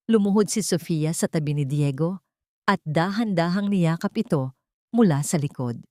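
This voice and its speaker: Woman, story narrator, scripted dramatic delivery, slightly low pitch, dry studio sound. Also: warm tone, no room sound.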